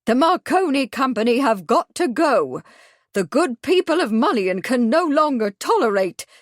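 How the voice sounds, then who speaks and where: A woman voicing an imperious elderly spinster with a high, shrill voice, haughty and indignant. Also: very animated, normal speed, dry recording, studio quality. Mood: outraged. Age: older.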